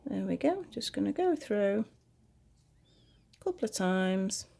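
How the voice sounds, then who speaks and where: A British woman hosting a crochet tutorial at home, chatty and rambling as she talks through each step, her voice a little croaky. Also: mid-range voice, sing-song, measured pace, warm tone. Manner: friendly, rambling, talks while working.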